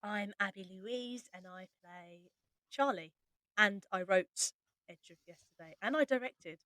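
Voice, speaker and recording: A woman's voice: mid-range voice, measured pace, bright, forceful tone, no echo, low-quality recording. A woman hosting a casual cast chat as the writer and director.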